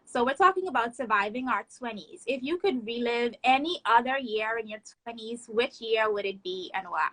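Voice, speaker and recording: A woman's voice: a little high, expressive, normal speed, few pauses, a bit bright, poor audio. Upbeat woman hosting a phone livestream. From Bahamas. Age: 20s. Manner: reads sponsor notes and interview questions in an upbeat way. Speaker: host. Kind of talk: Instagram live chat. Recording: phone livestream with faint noise.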